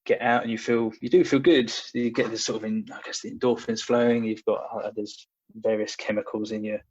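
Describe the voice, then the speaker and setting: A man's voice: lowish voice, flat delivery, brisk, darkish tone, husky. A young man talking casually as a podcast guest, hesitant and full of fillers and restarts. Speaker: podcast guest, personal trainer. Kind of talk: casual conversational storytelling. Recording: dull lo-fi audio.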